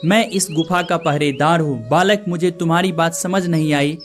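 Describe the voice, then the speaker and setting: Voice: medium pitch, moderate pace, soft background music, natural voice. An actor voicing a solemn old holy man who guards a cave in a cartoon story, stern yet kindly. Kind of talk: cartoon moral story dialogue.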